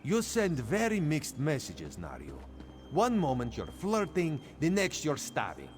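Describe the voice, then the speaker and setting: A man's voice: on the high side, wildly swooping pitch, measured pace, slight background noise. A man voicing a cheerful male cat-folk rogue who talks about himself in the third person, as AI-voiced game dialogue. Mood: playful.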